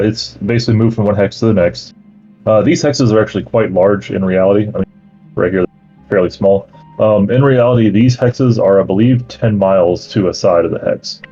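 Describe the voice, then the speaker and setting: This man is the game master of a tabletop session. His voice is mellow and a little husky. He reads the setting's lore steadily, then explains the rules loosely with fillers. The sound is slightly dull, with faint music playing behind him. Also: on the low side. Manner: steady reading that turns into relaxed explaining with fillers.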